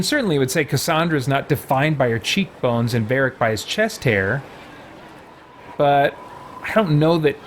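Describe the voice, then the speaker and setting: A man's voice: medium pitch, slight background noise. A man hosting a casual roleplay let's play, thinking aloud hesitantly as he comments. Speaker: gaming channel host.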